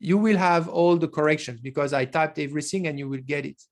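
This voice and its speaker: A man's voice: fairly high pitch, fast talker. Middle-aged guy lecturing over a webcam, explains step by step, restarts a lot. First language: French. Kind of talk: online lecture.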